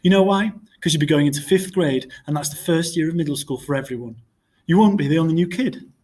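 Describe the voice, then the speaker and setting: A man voicing a caring, gently persuasive mother in a storybook. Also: on the high side, fast, voice pushed up, natural voice. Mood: encouraging.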